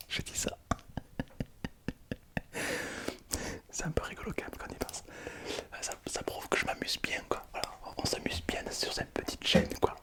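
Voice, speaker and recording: A young man whispering playfully through an ASMR video, reading comments aloud in casual slang and laughing often. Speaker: ASMR content creator.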